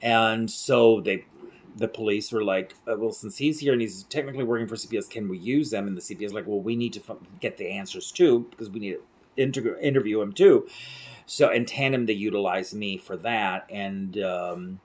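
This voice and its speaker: A man's voice: lowish voice, normal speed. A middle-aged man from central rural Mexico, an interpreter telling stories to the camera in a rambling way, full of fillers and restarts, recorded close up in a small room at night. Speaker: interpreter and vlogger. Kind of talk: casual storytelling to camera.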